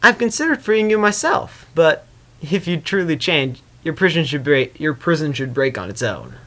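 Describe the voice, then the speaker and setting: A man plays a patient pony queen from a fantasy story, pitched high. She speaks earnestly and persuasively, with gentle firmness and lively rises and falls. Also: normal speed. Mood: concerned. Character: Celestia, female pony ruler, earnest, patient, gently firm. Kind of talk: dramatic fan fiction reading.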